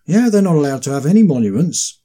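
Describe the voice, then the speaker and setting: Male talk host, dark husky voice, conversational, leans on repeated phrases. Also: on the high side, sing-song, fast talker. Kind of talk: casual discussion with read-out quotations.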